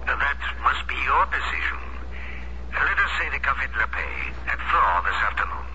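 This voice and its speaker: An actor playing a cautious, formal former resistance fighter, in 1970s radio drama style. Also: male voice, mid-range voice, sing-song, moderate pace, close to an everyday voice. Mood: wary. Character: guarded male French former resistance fighter. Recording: muffled lo-fi radio broadcast with hiss.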